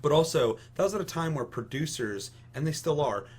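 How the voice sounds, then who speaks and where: A man's voice: on the high side, animated, fairly quick, darkish tone, breathy edge. A young American man hosting a casual podcast discussion, giving opinions emphatically with lots of casual swearing. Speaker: podcast host.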